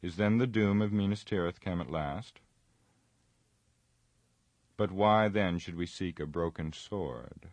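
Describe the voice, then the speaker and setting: Boromir, a man reading as a proud deep-voiced warrior. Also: measured pace, long pauses, dry recording. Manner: earnest, formal storytelling. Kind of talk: audiobook reading.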